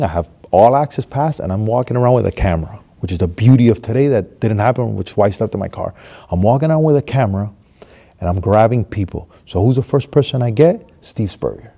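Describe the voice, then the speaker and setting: Miami guy in his 30s telling a story on stage, far camera, goes quiet then loud. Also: on the low side, a little fast. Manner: animated, swings from hushed to loud. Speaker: motivational speaker. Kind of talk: storytelling monologue. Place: stage with red curtain, seated.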